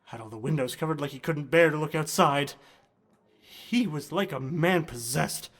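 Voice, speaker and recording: A man acting an earnest, anxious gentleman in a horror radio drama, speaking formally and dramatically. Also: a little high, normal speed. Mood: troubled, subdued. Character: earnest, anxious gentleman recounting uncanny experiments.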